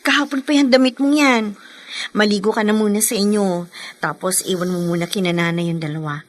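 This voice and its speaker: A Filipino woman playing a caring, gently insistent younger sister in radio drama, earnest and reassuring. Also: medium pitch, steady tone, a little fast, natural voice. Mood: concerned, practical. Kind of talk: Tagalog radio drama acting.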